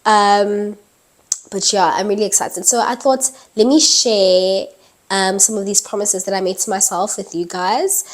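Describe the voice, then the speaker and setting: A woman's voice: medium pitch, fairly even intonation, measured pace. Chatty young woman vlogging. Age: early 20s. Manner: chatty, many fillers like "um" and "like", laughs lightly. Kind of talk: casual talking-head vlog. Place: indoors at night, dim lighting.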